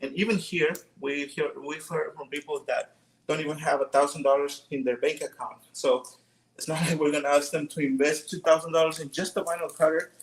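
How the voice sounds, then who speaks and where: A man's voice: fairly high pitch, a little fast, rough recording. A young man from Mexico with a Mexican Spanish accent, chatting casually and rambling a little about running a small decal business. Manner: relaxed and rambling, many fillers and restarts. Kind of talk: casual tutorial chat.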